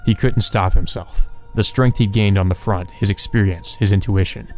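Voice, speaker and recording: A man's voice: on the low side, steady tone, normal speed, soft background music. A man reading visual novel story text aloud as narration for a let's play.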